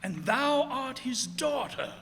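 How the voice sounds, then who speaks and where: An actor playing a bitter, menacing cursed sea captain in a radio play, with grandiose, archaic thee-and-thou speech. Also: male voice, very high-pitched, animated, slow, fairly bright tone, full character voice. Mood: probing. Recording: echoey hall stage recording.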